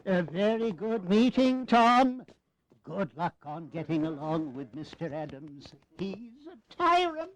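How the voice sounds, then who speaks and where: A man's voice: high voice, very animated, takes it slowly, full character voice, rough recording. An actor playing a jovial, sly elder statesman in a historical audio drama, amused and teasing as he draws out his words.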